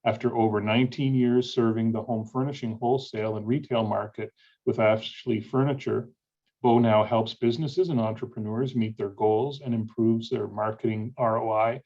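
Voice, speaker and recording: A man moderating a webinar over a video call, reading out speaker introductions in a halting way with many filler sounds. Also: medium pitch, dark tone. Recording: dull lo-fi audio.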